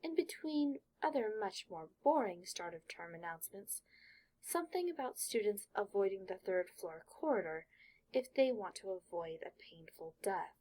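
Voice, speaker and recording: A woman narrating a fan fiction audiobook in an expressive storytelling manner. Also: mid-range voice, normal speed, poor audio.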